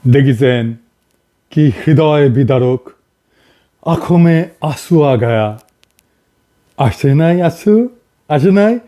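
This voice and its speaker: A man's voice: on the high side, animated, slow pace, pauses often, warm tone. A man with a northern Bangladeshi accent giving informal political commentary, colloquial, sarcastic and emphatic, addressing people directly. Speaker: video commentator and host.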